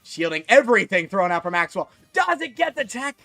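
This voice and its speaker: A man giving solo live commentary on a fighting game tournament, excitable and jumping to high shouts at big moments, his voice a little worn, from a small closet. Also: moderate pace, soft background music. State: losing his voice. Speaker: esports commentator.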